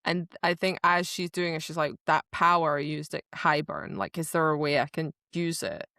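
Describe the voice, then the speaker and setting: Woman, slightly low voice, animated book podcaster, slangy, dramatic retelling, close dry recording. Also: normal speed, no echo. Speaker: podcast co-host. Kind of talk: excited casual book chat, retelling scenes.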